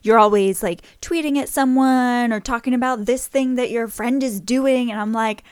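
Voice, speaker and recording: A woman's voice: on the high side, normal speed, clear, resonant tone, no room sound, studio quality. A woman co-hosting a casual podcast, rambling and giggly, with lots of "like". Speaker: podcast co-host.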